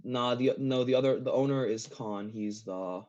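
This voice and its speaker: Male gaming guest, mellow and halting, giggly, casual; muffled lo-fi voice-chat sound. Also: medium pitch. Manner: halting, repeats phrases, giggly. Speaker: interview guest, game map builder. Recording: dull lo-fi voice chat.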